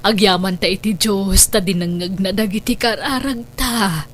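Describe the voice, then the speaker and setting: A woman's voice: medium pitch, moderate pace, natural voice. A woman playing a worried, devout and loving old grandmother in a radio drama, speaking in an earnest, plaintive way. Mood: grateful.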